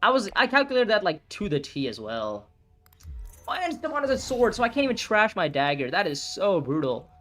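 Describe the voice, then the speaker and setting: Early-20s guy streaming a board game, kinda high voice, super bouncy and reactive, faint music behind. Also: fairly quick. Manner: excitable, talks through moves, reacts loudly, swears. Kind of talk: live gameplay commentary, thinking aloud.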